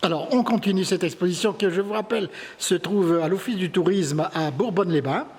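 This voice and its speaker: A man's voice: high voice, fairly quick, darkish tone. A man working as an interviewer, presenting an exhibition on site and putting questions to the artists. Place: indoors in an exhibition room at a tourist office.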